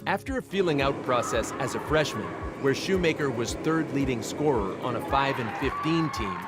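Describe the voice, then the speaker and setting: A man reading a scripted tribute narration in a measured, formal way. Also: mid-range voice. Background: music.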